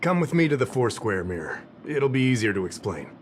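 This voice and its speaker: An actor playing a casual, chatty middle-aged security officer who rambles a little in a game cutscene. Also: male voice, medium pitch, sing-song, a little fast, a little hiss or hum, lightly acted. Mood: explaining. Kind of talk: game voice acting. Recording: game cutscene with music behind.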